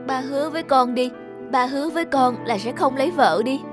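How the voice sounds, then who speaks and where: Woman voicing stubborn high-pitched cartoon little girl. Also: a little fast, lightly acted. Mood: tearful pleading. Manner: pleading, sulky. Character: stubborn, jealous little schoolgirl. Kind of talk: cartoon dub acting. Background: music.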